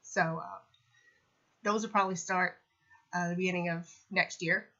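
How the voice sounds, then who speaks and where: A woman's voice: on the low side, fairly even intonation, normal speed, sharp tone, dull audio. A young woman with a slight cold, sniffly and congested, talking casually about her latest book in a rambling way, full of ums and you knows. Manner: rambling, lots of fillers like um and you know. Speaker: self-published author vlogging. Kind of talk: casual update vlog.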